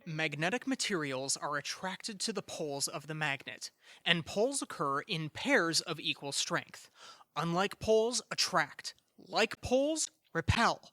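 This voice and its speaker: A smug young know-it-all twin in an audio play. His voice is bright and sharp, recorded close and dry, and he explains things in a pompous, lecturing way. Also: a little high, expressive, unhurried, no room sound, soft background music. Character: pedantic, smug young man, twin brother of a bickering sister. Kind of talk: audio theatre acting.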